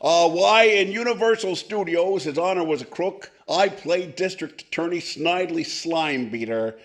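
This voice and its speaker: A man's voice: on the high side, moderate pace, lightly acted. A man playing a pompous, boozy old movie comedian, grandiloquent with muttered asides, in 1940s radio comedy style. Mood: pompous, oily.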